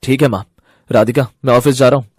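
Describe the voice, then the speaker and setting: A man's voice: medium pitch, fairly even intonation, fast talker, darkish tone, no echo, studio quality, lightly acted. A man voicing a confident, protective young husband and brother with a matter-of-fact manner in a cartoon story. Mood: assured.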